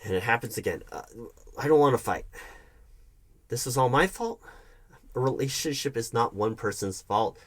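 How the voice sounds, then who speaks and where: A man playing a defensive, wounded hiring manager arguing with his ex in a conversational audio roleplay, halting and often throwing questions back. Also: medium pitch, expressive, natural voice. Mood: defensive, exasperated. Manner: defensive, halting, repeats the other's accusations as questions. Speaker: roleplay performer. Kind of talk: one-sided audio roleplay, conversational acting.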